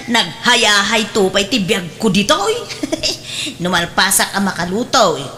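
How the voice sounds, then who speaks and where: A woman's voice: medium pitch, lively intonation, unhurried, sharp tone, a little hiss or hum. A woman playing a cheerful, cheeky young housemaid in an Ilocano radio drama. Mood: breezy.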